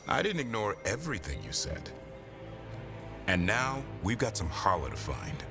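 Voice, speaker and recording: Low, gruff elf warrior. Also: male voice, sing-song, normal speed, music in the background. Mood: dry, practical. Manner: dry, matter-of-fact, good-natured. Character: armored male elf warrior, gruff but soft-hearted monster hunter. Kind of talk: video game dialogue acting.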